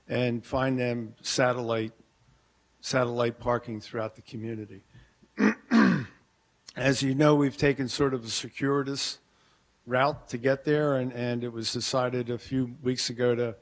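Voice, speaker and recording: A man, a local merchants' leader, giving a halting, plainspoken public comment at a town council meeting from a podium mic. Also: mid-range voice. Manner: plainspoken, halting, urges caution. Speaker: merchants association president speaking at public comment.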